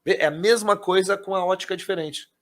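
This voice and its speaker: Fast-talking Brazilian man teaching. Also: high voice. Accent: Brazilian Portuguese. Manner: animated, talks straight to viewers as "gente". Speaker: English teacher. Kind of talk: casual teaching chat.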